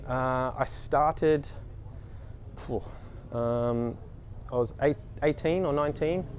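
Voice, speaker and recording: A man in his thirties, a race mechanic talking casually in an interview, with many pauses and restarts. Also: mid-range voice, darkish tone, a little hiss or hum. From Australia. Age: 30s. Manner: hesitant, many ums and restarts.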